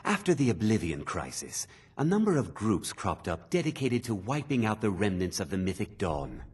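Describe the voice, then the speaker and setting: An eager and ominous collector of dark artifacts in a fantasy video game. His low voice moves with very lively intonation through theatrical, scripted lore, with faint music behind him. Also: normal speed, husky. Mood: businesslike, intriguing. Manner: theatrical, dramatic storytelling.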